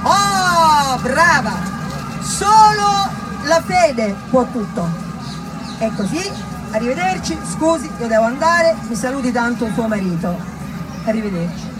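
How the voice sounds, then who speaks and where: A woman playing a hurried, pious male head physician in a stage monologue, brusque and evasive. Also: high-pitched, lively intonation, over music, crowd noise, low-quality recording. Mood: pious, dismissive. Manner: brusque, dismissive, hedging. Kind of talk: theatrical monologue dialogue.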